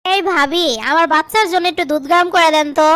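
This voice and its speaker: A woman's voice: very high-pitched, fast, a bit bright, no room sound. A woman voicing a bossy, demanding grown sister-in-law in a cartoon, with an entitled manner and a colloquial Bangladeshi accent. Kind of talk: cartoon drama dialogue.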